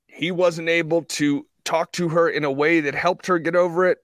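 A man in a heated conversation, speaking earnestly and defensively, often halting and repeating himself as he makes his point. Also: fairly high pitch, steady tone, fairly bright tone, dry recording, studio quality. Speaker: podcast guest. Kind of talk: heated conversational storytelling and debate.

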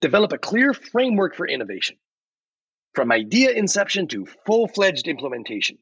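A man's voice: fairly high pitch, sing-song, fairly quick, fairly bright tone, breathy edge, dry recording, exaggerated voice. A man voicing an upbeat, folksy AI chatbot advisor in scripted explanatory narration, theatrical and emphatic, on a podcast. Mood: upbeat, instructive. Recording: dull, lo-fi but dry.

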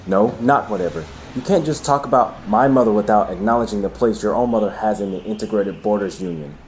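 A man's voice: lowish voice, fairly quick, a little mellow, slight background noise, natural voice. An actor playing an earnest, cautious and dutiful young man with a serious, admonishing way of talking in an audio drama. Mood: stern, defensive.

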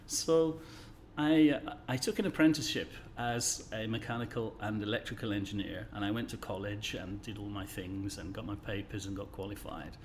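A man in his sixties from the English Midlands with a neutralised accent, a guest telling stories in a friendly, measured way in an office interview. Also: on the low side, slight background noise. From England, Midlands. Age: 60s. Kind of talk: conversational interview storytelling.

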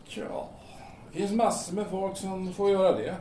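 A man plays a blunt, matter-of-fact guy in an audio drama, speaking in a colloquial, hesitant way. His voice sits fairly high yet soft-toned. The recording is echoey with faint noise. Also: steady tone, close to an everyday voice. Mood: reflective. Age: 30s. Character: blunt, casual thirtyish man talking down a would-be jumper. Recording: roomy, distant, noisy amateur-sounding recording.

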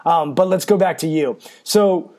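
A man with a raspy voice hosting a podcast, asking questions in a friendly, self-deprecating way. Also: a little high, measured pace, a little mellow. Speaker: podcast host. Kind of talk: casual interview talk.

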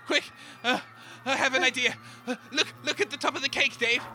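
A man voicing a flustered, excitable radio reporter who stammers and bosses his partner around. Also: very high voice, fairly quick, slight background noise, full character voice. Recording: loud music underneath.

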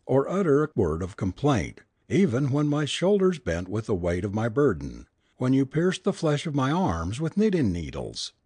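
A man's voice: mid-range voice, huge pitch swings, normal speed, dark tone, husky, no room sound, studio quality, pitched above the performer's own voice. A man voicing a worn-out, frightened and submissive woman in an audiobook, pleading in an anguished, melodramatic way. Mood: anguished, bitter. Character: worn-out, terrified, submissive woman, a medium's assistant. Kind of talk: dramatic audiobook character reading.

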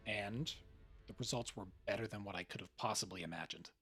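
A man's voice: on the low side, fairly quick, a bit bright, husky, soft background music. A man hosting a podcast, reading scripted narration as a writer presenting his work.

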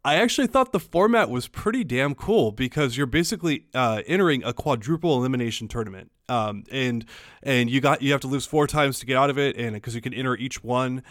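A man's voice: mid-range voice, animated, fairly quick, dry recording, clean studio sound. A man hosting a gaming podcast in casual, rambling chat full of fillers. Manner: rambling, filler-heavy, upbeat. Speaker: podcast host.

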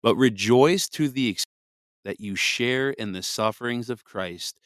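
A man's voice: mid-range voice, dry recording, clean studio sound. Congested man hosting a scripture podcast. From Michigan. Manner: rambling, many fillers, then slow deliberate reading. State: congested from a cold. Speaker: podcast host. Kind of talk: scripture reading with casual commentary. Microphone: close podcast mic.

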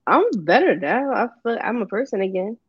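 A woman from New Jersey co-hosting a podcast, hoarse from a recent illness, talking in an easygoing, slangy way. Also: medium pitch, expressive, brisk, no echo, lo-fi sound. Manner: slangy, easygoing, laughs often. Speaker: podcast co-host. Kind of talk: casual chat.

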